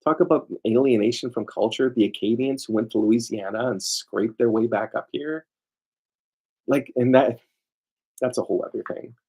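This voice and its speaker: A man's voice: mid-range voice, moderate pace, a little mellow, breathy edge, low-quality recording. A man giving an informal lecture as a lawyer, emphatic and digressive, often throwing rhetorical questions at his listeners. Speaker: lawyer presenting a seminar.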